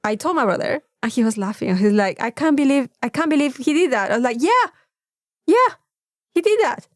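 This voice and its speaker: A woman with a fairly high voice, recorded close and dry. She co-hosts a casual podcast, telling stories in an animated, sweary way and acting out what people said. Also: brisk, no room sound. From Mexico. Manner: animated storyteller who quotes dialogue and swears freely. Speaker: podcast co-host. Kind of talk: casual comedic chat and storytelling.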